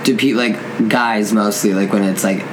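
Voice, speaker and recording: Campy man hosting over clear background noise. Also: fairly low pitch, steady tone, normal speed. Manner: campy, slangy, profane. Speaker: talk show host. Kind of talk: casual interview chat. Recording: clearly noisy room ambience.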